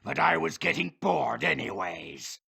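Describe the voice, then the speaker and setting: Gravelly, gloating monster overlord. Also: male voice, a little high, sing-song, a little slow, sharp tone, full character voice. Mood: sardonic, menacing. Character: gloating male monster overlord, sardonic and menacing. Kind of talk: video game villain acting.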